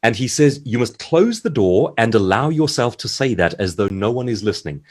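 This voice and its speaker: A man's voice: medium pitch, very animated, brisk, a little mellow. A man speaking as a guest author in an online seminar, giving advice in articulate, emphatic, rhetorical phrasing. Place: online video call.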